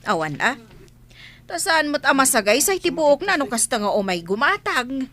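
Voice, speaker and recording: A woman's voice: high-pitched, big pitch swings, moderate pace, sharp tone, heavily acted. A woman playing a chatty villager in an Ilocano comedy radio drama, speaking with an Ilocano accent.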